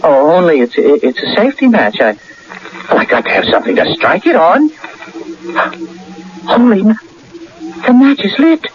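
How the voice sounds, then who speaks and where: An actor playing an earnest, excitable and stubborn ordinary man in an emphatic, theatrical radio drama style. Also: sits high, normal speed, long pauses, a crowd in the background. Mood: astonished. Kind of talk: 1980 American radio drama acting. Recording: dull lo-fi old radio broadcast.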